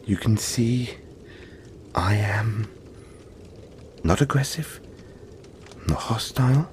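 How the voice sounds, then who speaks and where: A man playing a huge, gentle, cuddly yeti boy in an intimate audio roleplay, talking politely and haltingly to reassure the listener. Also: lowish voice, lively intonation, a little hiss or hum. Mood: gentle, reassuring. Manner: soft-spoken reassurance, halting, polite and chatty.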